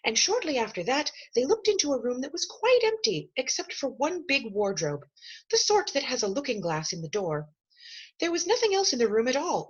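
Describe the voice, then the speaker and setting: A woman storyteller reads a children's novel with lively, swooping intonation and measured dramatic emphasis. Her voice is husky, and the recording sounds slightly dull and lo-fi. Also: on the high side. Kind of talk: expressive storybook narration.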